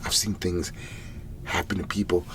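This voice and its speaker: A man playing a weary, desperate cleanup agent in an ASMR roleplay, pleading in halting, breathy whispers and murmurs. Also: deep voice, little pitch movement, fairly bright tone, a little hiss or hum. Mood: weary, troubled.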